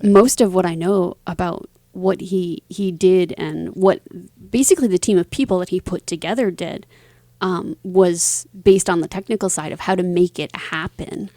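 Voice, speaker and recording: A woman's voice: on the low side, moderate pace, warm tone, no room sound. A woman talking casually as an interview guest, explaining things thoughtfully in long linked phrases. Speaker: interview guest, singer, author and voice actor.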